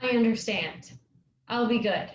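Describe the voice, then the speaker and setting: A woman's voice: fairly high pitch, a little slow, natural voice. A woman playing a blunt, sceptical young member of a travelling troupe in a staged play reading. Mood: conciliatory. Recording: echoey, muffled, hissy room recording.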